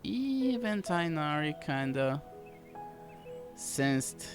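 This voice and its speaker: A man's voice: fairly high pitch, big pitch swings, drawn-out words, music in the background. A man giving casual, chatty playthrough commentary as a gaming host, with playful asides.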